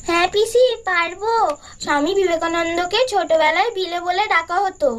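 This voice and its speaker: Someone voicing a very high-pitched eager child doll. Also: moderate pace, fairly bright tone, a little hiss or hum. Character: eager young schoolchild dolls answering quiz questions. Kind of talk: puppet doll story voice acting.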